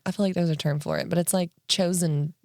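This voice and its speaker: A woman's voice: fairly low pitch, steady tone, fast, a little mellow, no room sound, clean studio sound. A woman co-hosting a podcast in a casual comedic chat, blunt and teasing with crude humor. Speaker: podcast co-host.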